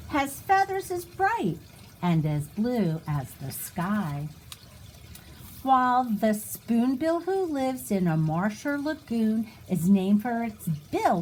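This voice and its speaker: A woman reading a rhyming picture book aloud to young children in an expressive, sing-song way, pausing for little asides. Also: fairly high pitch, a little hiss or hum, rough recording. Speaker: children's storybook reader.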